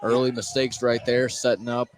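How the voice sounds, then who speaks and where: A man's voice: mid-range voice, level tone, moderate pace. A man giving color commentary on a live radio basketball game from courtside in a gym. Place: courtside in a high school gym. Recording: clearly noisy gym ambience. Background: clear gym noise.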